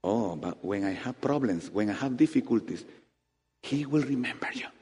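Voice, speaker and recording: Animated preacher in a reverberant hall. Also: male voice, medium pitch, moderate pace, slightly rough. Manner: animated preaching with rising emphatic peaks and soft asides. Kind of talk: sermon. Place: church hall. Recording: long reverb of a church hall.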